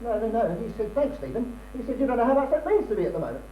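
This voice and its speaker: Distant and echoey, an actor plays a muddled British husband in a stage comedy. He talks quickly and excitably in a high male voice, tripping over and repeating his words. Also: muffled recording, a loud crowd. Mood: sheepish. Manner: stammering, repetitive, agitated. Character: flustered, forgetful middle-class British husband. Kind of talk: amateur stage comedy acting. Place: theatre stage, recorded from afar.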